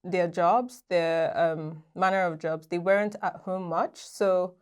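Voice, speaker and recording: A woman's voice: on the low side, slow, clear, resonant tone. A young woman from Nigeria, a guest on a podcast, chatting casually and laughing as she tells stories. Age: young adult. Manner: lively, laughs while telling stories. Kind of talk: casual interview chat.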